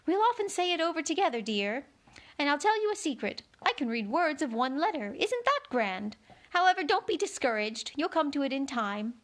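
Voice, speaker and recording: A woman voicing a feeble, flustered, anxious queen with a fluttery, high, wavering way of speaking, reading a storybook aloud. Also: big pitch swings, moderate pace, a bit bright. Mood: confiding.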